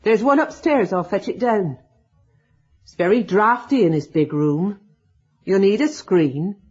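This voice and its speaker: A woman's voice: medium pitch, expressive, a little slow, breathy edge. Old charwoman: servile, hinting, superstitious. Dull lo-fi radio recording. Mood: ominous, wary. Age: older. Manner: deferential, hinting, rustic turns of speech. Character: gossipy, foreboding old English charwoman, deferential and superstitious. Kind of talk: radio drama acting.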